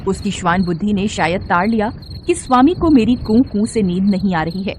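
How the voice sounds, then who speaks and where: Female story narrator, mid-pitched and highly animated, scripted delivery with light insect ambience behind. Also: moderate pace. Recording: faint noise with cricket sounds.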